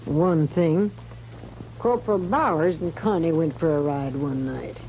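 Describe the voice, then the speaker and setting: A woman's low voice on a muffled, faintly hissy old radio recording. She is a worldly western saloon woman, shrewd and dry, talking matter-of-factly. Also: unhurried, dark tone. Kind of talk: 1950s radio western acting.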